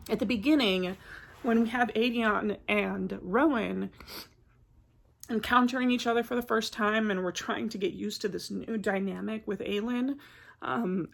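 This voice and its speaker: A woman's voice: on the high side, gravelly, fairly bright tone. A woman getting over a cold, chatting casually about books in a vlog, rambling with many filler words. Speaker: book vlogger. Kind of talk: casual book review vlog.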